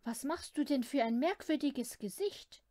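Woman playing a farm mother, high voice, plain instructive manner, children's storybook. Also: expressive, unhurried, warm tone, no echo, studio quality. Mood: questioning. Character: farm mother giving her household instructions.

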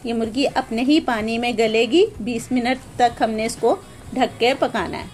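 A woman's voice: fairly high pitch, faint music behind. A woman hosting a home cooking channel, explaining each step of a recipe patiently in a plain, homely way, recorded on a phone in her kitchen. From Pakistan.

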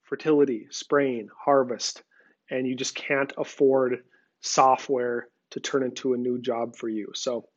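Casual man walking through software. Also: mid-range voice, a little slow, breathy edge, highs cut off. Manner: relaxed, conversational, frequent ums. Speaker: software company representative. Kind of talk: screen-recorded product demo.

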